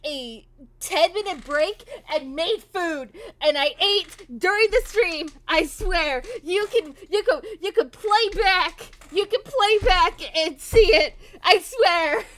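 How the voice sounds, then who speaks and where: A high-voiced woman chats casually on a game livestream. She rambles slowly in a giggly manner, often circling back to repeat herself. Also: a bit bright. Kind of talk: casual game stream chat.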